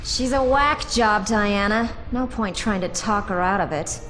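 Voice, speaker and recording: An actress playing a bold, sarcastic superheroine in a video game cutscene, with a casual, cocky delivery. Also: female voice, mid-range voice, a little slow, fairly bright tone, faint music behind, lightly acted.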